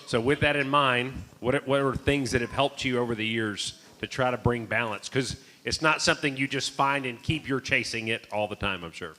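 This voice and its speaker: A man moderates a filmmaker panel in a bright, forward voice. He introduces the guests in a friendly way and poses his questions clearly. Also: medium pitch, normal speed, few pauses, slight background noise.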